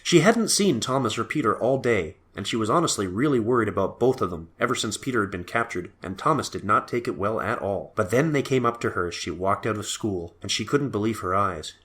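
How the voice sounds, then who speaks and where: A man's voice: fairly low pitch, expressive, a little fast, few pauses, fairly bright tone, husky. A man reading scripted story narration for an audio drama.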